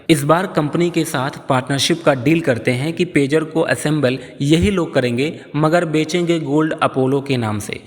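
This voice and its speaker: Man narrating an explainer with long reverb. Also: fairly high pitch. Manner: explanatory storytelling. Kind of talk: scripted narration, news explainer.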